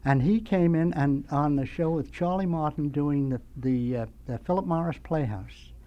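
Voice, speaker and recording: An older American man, a veteran radio sound effects man, tells nostalgic anecdotes as a studio guest in a rambling, halting way. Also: on the high side, muffled recording.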